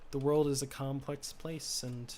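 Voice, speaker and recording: A young man from the United States streaming a game, musing in a low-key, rambling way and keeping his voice lower than usual. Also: little pitch movement, a little slow, darkish tone, a little hiss or hum. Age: teens. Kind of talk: casual chat while gaming.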